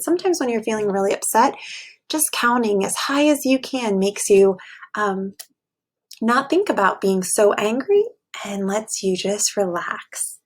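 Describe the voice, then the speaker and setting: A woman teacher reading aloud and chatting casually to young children in an encouraging way. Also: a little high. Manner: encouraging, asks the listeners questions.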